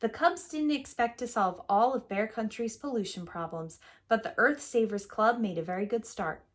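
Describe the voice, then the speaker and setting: A woman teacher reading a picture book aloud to young children in an expressive, clearly phrased storytelling manner. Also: fairly high pitch, normal speed.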